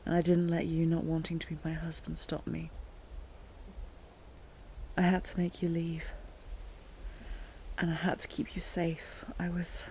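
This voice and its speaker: A slow, breathy, wounded queen played by a woman, held captive and delirious. She murmurs haltingly in a narrow, subdued range, often slipping into a whisper, lovelorn and full of regret. It is a fantasy audio drama with faint noise behind it. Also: fairly low pitch, darkish tone. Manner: halting, murmured, rambling as if half-dreaming. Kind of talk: intimate scripted audio roleplay.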